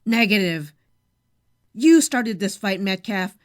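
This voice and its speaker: A woman's voice: medium pitch, expressive, takes it slowly. An actress playing a defiant female colonel who leads her fighters in a fan audio drama. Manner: defiant and commanding.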